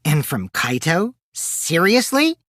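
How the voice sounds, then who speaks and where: A man's voice: on the high side, huge pitch swings, slow pace, fairly bright tone, no echo, clean studio sound, full character voice. An actor playing a mischievous, taunting teenage boy in a video game, theatrical and teasing, with a youthful boyish voice that can turn from cutesy to cold. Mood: sly, probing. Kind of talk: animated game dialogue in a murder-mystery trial.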